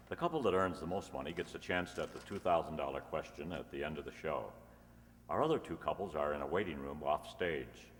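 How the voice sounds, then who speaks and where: A polished old-time radio announcer acted by a man delivers scripted copy like an eager salesman. The voice is very low and echoes through a big hall. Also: fairly bright tone, slight background noise, full character voice. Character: polished, eager male announcer and sponsor pitchman. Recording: echoey hall, long reverb.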